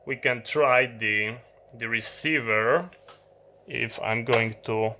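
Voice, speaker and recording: A man who is a non-native English speaker, giving a halting, unscripted hands-on explanation of a piece of gear as a video host. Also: medium pitch, background noise. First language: not English. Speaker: host of an electronics review channel. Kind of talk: unscripted hands-on product demonstration. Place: indoor electronics workbench.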